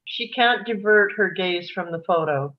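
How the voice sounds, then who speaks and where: A woman's voice: mid-range voice, a little slow, muffled recording. A woman narrator reading scripted stage directions for a screenplay.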